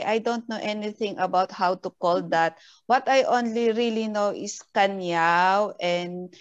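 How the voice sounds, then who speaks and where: A woman from Benguet in the Philippines talks on a video call. She speaks slowly and pauses to search for words, mixing languages. The audio comes through dull and muffled. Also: a little high, clear, resonant tone. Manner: unhurried, searching for words, code-switching. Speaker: community member guest. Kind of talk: casual online panel talk.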